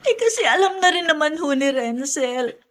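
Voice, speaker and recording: Filipino radio drama mother: anxious, tearful, halting, noticeably high voice. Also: sing-song. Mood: worried, tearful. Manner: flustered, halting, deferential.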